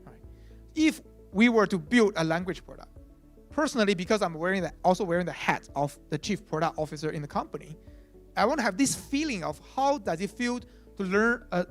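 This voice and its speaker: A man whose first language is Chinese, giving a conference talk as chief product officer, speaking conversationally with many "uh" fillers and "right?" tags. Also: high voice.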